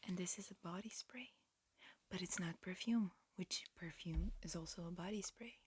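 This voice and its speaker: A woman performing a whispered ASMR roleplay, mixing breathy whispers with soft speech in a halting, matter-of-fact, instructional manner. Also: lowish voice, a little hiss or hum. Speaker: ASMR performer playing an alien examiner.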